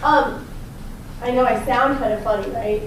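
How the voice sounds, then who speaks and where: A slightly hoarse woman with a raspy voice, a chiropractor giving a chatty, upbeat health talk and quizzing her small audience. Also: on the high side, normal speed. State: slightly hoarse from talking a lot. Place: personal training gym, indoors. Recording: echoey room, distant mic, background noise.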